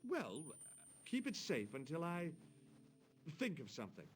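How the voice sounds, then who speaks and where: A man's voice: a little high, wildly swooping pitch, measured pace. An actor voicing a video game hero, a wisecracking young man posing as a doctor, with a dry, teasing delivery. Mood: cheeky.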